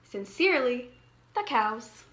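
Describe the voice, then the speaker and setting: A woman's voice: fairly high pitch, very animated, takes it slowly, a bit bright, reverberant, exaggerated voice. A woman voicing polite but firm farm animals reading out their written demands in a children's storybook. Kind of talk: children's storybook read-aloud.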